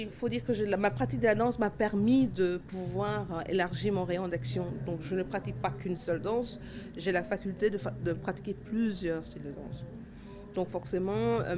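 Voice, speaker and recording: A woman in her forties from Cameroon, answering interview questions at length with many fillers and restarts. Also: lowish voice. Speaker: dancer and festival organizer. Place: outdoor festival grounds. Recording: festival ambience noise behind.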